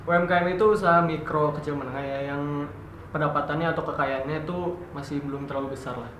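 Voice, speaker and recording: A twenty-year-old man answering interview questions softly, his sentences trailing off. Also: fairly high pitch, fairly even intonation, fast talker. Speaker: interviewee, student. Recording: echoey room, noisy background.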